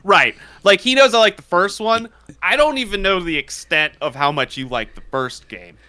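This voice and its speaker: An excitable man co-hosts a gaming podcast, and his voice swings up and down a lot. The tone is bright and crisp. The sound is close and dry on a studio mic. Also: sits high, no room sound. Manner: excitable, animated, quick to laugh. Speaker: podcast co-host. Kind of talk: casual gaming podcast chat.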